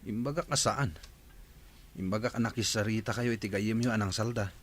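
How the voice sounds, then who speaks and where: A concerned village man played by a male actor in a radio drama. His voice is fairly low as he talks over family troubles. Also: brisk, a little hiss or hum, lightly acted. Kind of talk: Ilocano radio drama acting.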